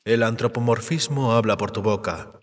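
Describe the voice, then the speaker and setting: A man voicing an urbane, cautious, learned male vampire in an audiobook drama, measured, erudite and resigned. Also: lowish voice, lightly acted. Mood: measured, sceptical. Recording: cave-like reverb.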